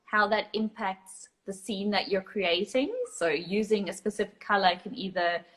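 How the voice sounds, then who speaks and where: A woman's voice: mid-range voice, steady tone, moderate pace, a bit bright, rough recording. A woman with a South African accent, a photographer talking through her tips on a live video call, explaining with frequent ums and restarts. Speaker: guest photographer. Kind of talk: casual live chat.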